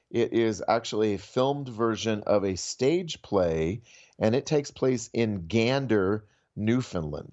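Guy guest critic, lowish voice, thoughtful explaining, bit muffled remote line. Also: darkish tone. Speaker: media critic, guest commentator. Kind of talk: conversational film commentary.

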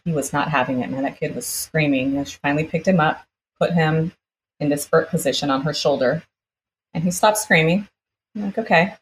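A woman's voice: low-pitched, fairly quick, slight background noise, rough recording. A woman from the United States, born in Brazil, telling a personal story conversationally as an interview guest, quoting people as she goes.

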